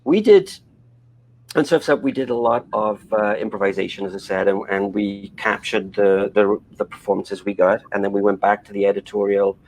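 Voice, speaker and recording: A man's voice: fairly low pitch, steady tone, moderate pace, darkish tone, low-quality recording. A middle-aged man from Wales, an animation director being interviewed, who talks in a rambling way with many restarts and fillers. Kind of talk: conversational interview.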